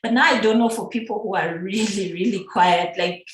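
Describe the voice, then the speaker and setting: A middle-aged woman from Uganda, chatting as a podcast guest, animated and laughing often. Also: medium pitch, moderate pace, a little hiss or hum. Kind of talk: casual conversational interview. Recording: echoey room.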